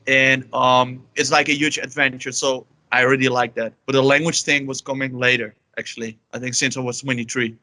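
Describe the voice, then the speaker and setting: Dutch guy, young adult, loose chatty interview answers full of fillers, bright tone, dry close sound. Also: mid-range voice, little pitch movement, fairly quick, few pauses, no echo. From Netherlands. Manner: rambling, many fillers like "you know" and "like". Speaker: guest, language learner.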